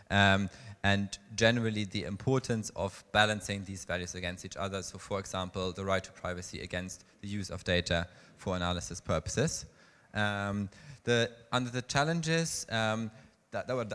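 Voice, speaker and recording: A man's voice: fairly low pitch, a bit bright. A man presenting his session's results at a conference, summarizing from his notes in a conference hall. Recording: reverberant hall recording.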